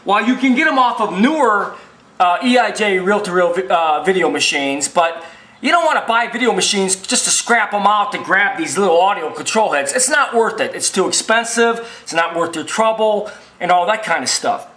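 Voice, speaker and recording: A man's voice: sits high, lively intonation, moderate pace, bright, forceful tone. A man giving an informal repair tutorial as a technician, rambling and repeating himself in a folksy, emphatic way. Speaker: video machine repair technician and presenter. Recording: roomy, echoing sound.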